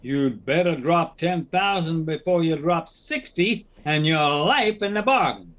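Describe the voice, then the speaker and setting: A man's voice: a little high, expressive, a little slow, a bit bright. A man playing a nervous, scheming townsman in a western audio drama, urging and wheedling in an American Western drawl. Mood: urgent persuasion. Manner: agitated, emphatic frontier drawl.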